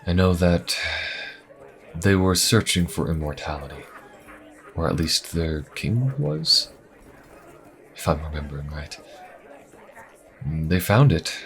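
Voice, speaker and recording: A wry, weary inquisitor adventurer, acted by a man with an extremely low voice. He talks casually and dryly, musing with hesitant pauses, as if chatting to one listener in a fantasy audio roleplay. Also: fairly bright tone, heavily acted.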